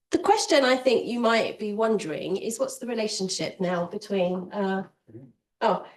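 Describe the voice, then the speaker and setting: A woman with a cold and a slightly croaky voice moderates a fireside chat. She sounds friendly and rambles a little. She is heard from some distance. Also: medium pitch. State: has a cold, a bit croaky. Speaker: interviewer and event moderator.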